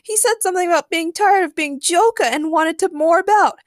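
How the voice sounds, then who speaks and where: A lovesick young female prankster put on by a woman reader with a high, swooping voice. She is dramatic and gushing, full of old-fashioned slang, in a comic story. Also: big pitch swings, fairly quick, no room sound, clean studio sound. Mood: pleading.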